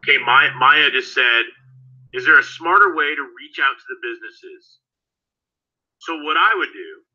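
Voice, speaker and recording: Guy losing his voice running a live online class, really bright sharp tone, dull lo-fi call audio. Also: medium pitch. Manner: casual, talks straight to students by name. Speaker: course instructor. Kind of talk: live online training session.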